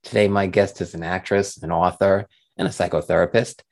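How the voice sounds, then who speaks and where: A man's voice: deep voice, steady tone, brisk, gravelly, a little mellow. A man hosting an interview show, introducing his guest and asking questions.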